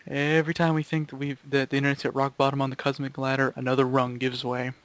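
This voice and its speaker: A man's voice: mid-range voice, completely flat delivery, fast talker, a bit bright, smooth. A young man chatting casually and jokingly with his group, as a member of a gaming video crew. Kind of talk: rambling casual group chat.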